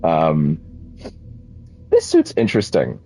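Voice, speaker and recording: A man's voice: extremely low pitch, huge pitch swings, takes it slowly, dark tone, husky, soft background music. A man streaming a game, chatting casually in a rambling way with lots of fillers, a little sniffly from a cold. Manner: rambling, filler-heavy, self-correcting. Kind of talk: casual livestream chat while gaming.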